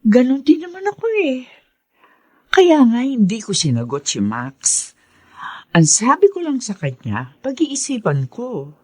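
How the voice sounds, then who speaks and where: A woman playing a gentle, long-suffering mother in a Filipino radio drama, tender and emotional. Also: fairly high pitch, wildly swooping pitch, a little slow, breathy edge, no echo, exaggerated voice. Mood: sad, reassuring.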